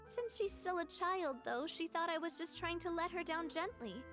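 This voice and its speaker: A woman's voice: very high-pitched, expressive, normal speed, a bit bright, soft background music. A young woman voicing a friendly, assured gang leader in a video game, speaking earnestly.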